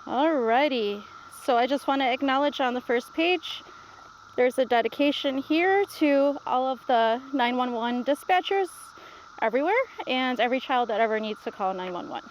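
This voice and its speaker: A woman author reads a children's book aloud to listeners. Her voice is high-pitched, and there is faint noise behind her. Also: lively intonation, normal speed, poor audio. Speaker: author reading own book, police dispatcher.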